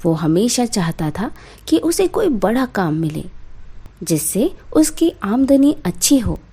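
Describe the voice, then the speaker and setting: A woman narrates a scripted moral story for children. Her delivery is slow, with a dark, relaxed tone and a medium pitch for a woman. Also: husky.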